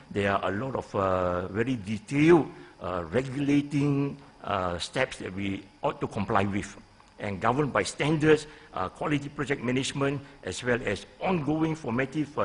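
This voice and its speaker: A man in his sixties with a Malaysian accent, a professor giving a deliberate, halting conference presentation into a lectern microphone, stressing key phrases. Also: mid-range voice, slightly rough. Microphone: gooseneck lectern microphone.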